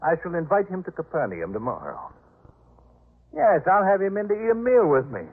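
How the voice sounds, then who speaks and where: An actor playing a proud, disdainful master of a biblical household in a 1950s radio drama, with haughty, emphatic delivery. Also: male voice, high voice, very animated, a little fast, slightly rough, full character voice. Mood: haughty, commanding. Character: proud, indignant, disdainful male head of household in biblical times. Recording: muffled narrowband 1950s radio recording.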